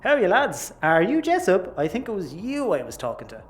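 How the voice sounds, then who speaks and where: A cheeky, out-of-his-depth Irish smuggler in a sci-fi audio drama. He bluffs and chatters, flustered, and his pitch bounces up and down. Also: huge pitch swings, fairly quick. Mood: nervously chummy. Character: cheeky, bumbling young male would-be gun smuggler.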